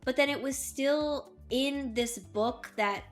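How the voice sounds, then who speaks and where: Higher-voiced woman co-hosting a review chat. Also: takes it slowly. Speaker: co-host of an audiobook review show. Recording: music under the voice.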